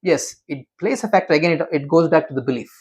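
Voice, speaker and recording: A man's voice: on the high side, fast, a little mellow, slightly rough. A man being interviewed as a memory expert, explaining in an emphatic, teacherly way and often asking rhetorical questions.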